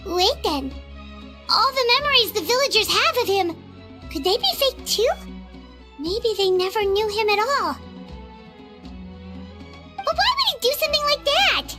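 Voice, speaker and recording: A woman voicing a tiny, chirpy, excitable female fairy companion in a video game, talking chattily and referring to herself by name. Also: extremely high pitch, a little slow, pauses often, soft background music, exaggerated voice. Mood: worried.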